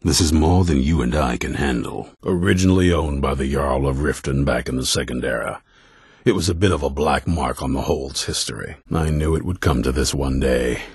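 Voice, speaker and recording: An older man playing a grim, ruthless vampire hunter commander in a video game, stern and blunt, with a very deep, gravelly, raspy voice. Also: normal speed, no room sound, clean studio sound, exaggerated voice. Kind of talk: video game character dialogue.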